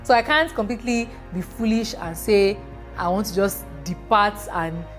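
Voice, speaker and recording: A woman with a Nigerian accent speaking firmly and in a measured way in an interview. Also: medium pitch. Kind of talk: casual interview answers. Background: faint music.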